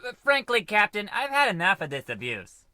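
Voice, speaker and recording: An actor playing a put-upon, fussy science officer who defers and protests, in a scripted radio comedy. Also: male voice, high voice, huge pitch swings, fairly quick, pushed and bright, dry recording, clean studio sound, full character voice. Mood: fed up. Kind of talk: scripted old-time radio comedy acting.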